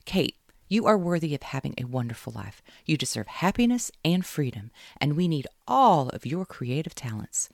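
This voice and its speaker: Reader putting on a brassy southern best friend, drawling, gravelly, cheerleader energy, dry booth sound. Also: mid-range voice, very animated, moderate pace, few pauses, no echo, pitched above the performer's own voice. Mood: cheering. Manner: loud, emphatic cheerleading.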